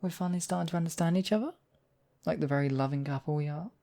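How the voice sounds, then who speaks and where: A man playing a demon king in an intimate ASMR roleplay, husky and theatrical, with deliberate phrasing and lingering pauses. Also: a little high, warm tone. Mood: menacing, possessive. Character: demon king, menacing captor act turning tender, devoted lover.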